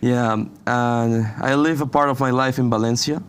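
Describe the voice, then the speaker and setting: A young man from Venezuela, a university student answering interview questions in halting English and saying "you know" often. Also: mid-range voice, steady tone, clear, resonant tone. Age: young adult. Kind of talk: casual interview.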